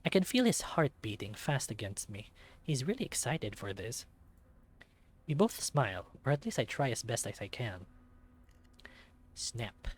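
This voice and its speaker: A man reading a visual novel aloud as an expressive narrator. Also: medium pitch, a little slow, pauses often, slightly rough.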